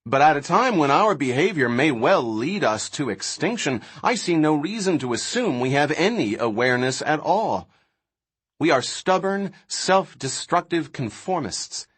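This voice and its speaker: A man's voice: fairly high pitch, sing-song, unhurried, a bit bright, husky, pitched above the performer's own voice, full character voice. A man voicing a blunt, contemptuous male scientist in an audiobook, declaiming with sardonic emphasis. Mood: scornful lecturing.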